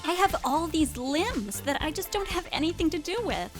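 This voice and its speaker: Casting: woman playing a many-limbed creature shopper, very high lively ad read, music under. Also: normal speed. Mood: chirpy. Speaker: ad testimonial voice. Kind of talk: audio drama fake advert.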